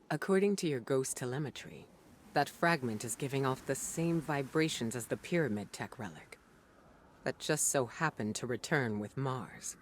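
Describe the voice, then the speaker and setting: An actor playing a weary but resolute male commander in a video game, measured, reflective and serious. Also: medium pitch, a little hiss or hum, close to an everyday voice. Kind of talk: dramatic video game voice acting. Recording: music under the dialogue. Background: game music.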